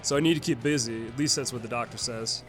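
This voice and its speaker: An actor playing a folksy, earnest retired uncle in an audio sketch comedy. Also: male voice, medium pitch, fast, fairly bright tone, soft background music, natural voice. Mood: fatherly. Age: older. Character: retired fatherly uncle, earnest and matter-of-fact about monsters.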